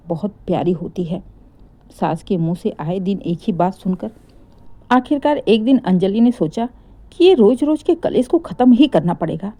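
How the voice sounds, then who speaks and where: A woman's voice: mid-range voice, mellow. A woman narrating a scripted, emotional domestic moral story as a storyteller.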